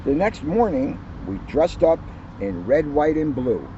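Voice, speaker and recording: A man who is a police officer, reading a children's picture book aloud outdoors with lively storytelling. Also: fairly high pitch, unhurried, lo-fi sound, a little hiss or hum. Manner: lively storytelling, livens up on quoted lines. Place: outdoors on a hill at a historic house.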